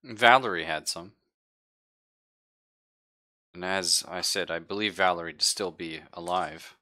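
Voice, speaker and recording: A man running a tabletop roleplaying game, speaking in character with a measured, halting delivery. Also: deep voice, pushed and bright. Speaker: game master.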